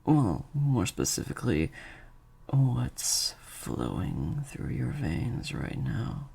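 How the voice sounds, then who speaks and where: A man playing a sadistic vampire master in an improvised audio roleplay, menacing and teasing, in breathy half-whispers to a captive listener. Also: medium pitch, big pitch swings, takes it slowly, a little mellow, full character voice. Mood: smug, menacing.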